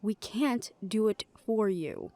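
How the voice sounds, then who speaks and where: A woman's voice: mid-range voice, animated, takes it slowly, warm tone, no echo. A woman playing a supportive, protective big-sisterly character, firm and dryly sardonic, in an audio roleplay drama. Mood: supportive, frank.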